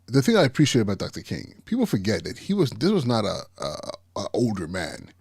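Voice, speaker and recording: Husky, low-voiced man who stutters. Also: huge pitch swings, fairly quick, darkish tone, no echo, studio quality. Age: 40s. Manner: stutters, repeats and restarts words. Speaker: podcast host, school principal. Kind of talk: casual podcast commentary.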